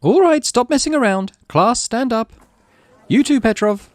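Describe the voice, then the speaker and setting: Stern woman teacher played by a man, raised pitch, commanding and brisk, horror game role, music behind. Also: animated. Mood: bossy. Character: stern, bossy female schoolteacher calling class to order.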